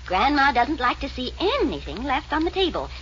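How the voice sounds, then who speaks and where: A woman's voice: high voice, big pitch swings, normal speed, a bit bright. An actress playing a sweet, dotty old grandmother with a sinister streak in a 1940s radio drama, fussy and sugary. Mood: doting, sweet. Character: sweet, dotty old grandma who poisons apple pies. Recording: muffled, noisy 1940s radio recording.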